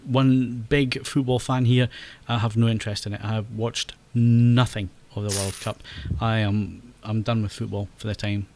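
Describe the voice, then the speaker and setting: A man's voice: lowish voice, level tone, moderate pace, few pauses, darkish tone, slight background noise. A Scottish man hosting a podcast, chatty, laughing often and stumbling over his restarts. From Scotland. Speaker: podcast host. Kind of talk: casual podcast chat.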